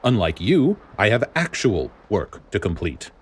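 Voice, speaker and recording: A man acting out a stern, irritable male vice admiral for an audio story. The voice is deep and growling, and the delivery is clipped, flat and impatient. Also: a little slow, husky, full character voice. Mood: irritated. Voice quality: low growl. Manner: flat, curt.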